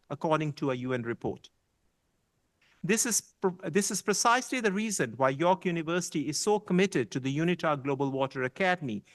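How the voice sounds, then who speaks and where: A man, a university official, giving a formal prepared speech at an event, reading smoothly. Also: on the high side. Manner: reads smoothly, stumbles when ad-libbing.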